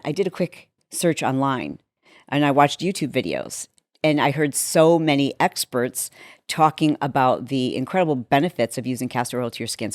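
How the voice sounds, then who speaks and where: A woman in her fifties speaks in a low, slightly hoarse voice. She chats casually and candidly, like a host walking viewers through a personal routine. The recording is dry and close, with very little room sound. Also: darkish tone, no room sound. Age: 50s. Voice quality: deepened, slightly hoarse voice from a vocal cord injury. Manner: candid, conversational, with acted-out asides. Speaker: health and lifestyle show host.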